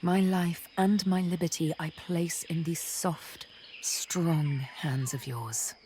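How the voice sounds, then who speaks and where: An actress playing a haunted, wistful young elven woman in a video game, telling her tale in deliberate, poetic, theatrical phrases. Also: on the low side, fairly even intonation, mellow, slight background noise. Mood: tender, vulnerable. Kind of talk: dramatic video game dialogue, intimate storytelling.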